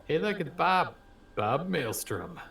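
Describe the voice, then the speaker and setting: A man's voice: a little high, very animated, takes it slowly, creaky, a bit bright, roomy sound. A man who laughs a lot, teasing his players as game master before a tabletop session.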